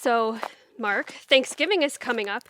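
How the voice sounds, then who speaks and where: A woman plays a gung-ho, energetic daughter in a comic audio drama. The voice is high and bounces widely, over faint noise. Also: a little slow. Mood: excited. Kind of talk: audio comedy drama acting.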